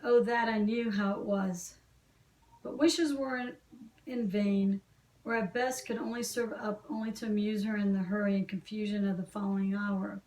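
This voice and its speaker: A woman's voice: medium pitch, steady tone, normal speed, a little mellow. A woman reading a classic novel aloud as an amateur audiobook narrator. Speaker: volunteer audiobook reader. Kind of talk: scripted literary narration. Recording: echoey room, slightly distant mic.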